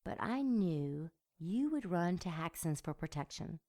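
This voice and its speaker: Female demon, lowish voice, unhurried, seductive, taunting, cruel, audio drama. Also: very animated, a little mellow. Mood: mocking. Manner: sultry, mocking, savoring delivery.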